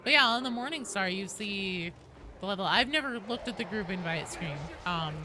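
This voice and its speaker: Female game streamer, lively swooping intonation, informal and conversational, clear background noise. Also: mid-range voice, normal speed. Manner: informal, conversational, addresses viewers directly. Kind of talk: casual livestream chat with viewers while gaming.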